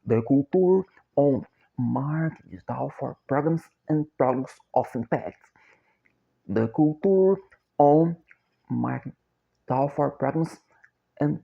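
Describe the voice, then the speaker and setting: A middle-aged Brazilian man with a Portuguese accent, speaking English slowly and haltingly in a repetitive, chant-like monologue. He repeats short phrases over and over like a mantra. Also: medium pitch, gravelly, mellow. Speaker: self-described copywriter and philosopher. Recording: dull lo-fi recording.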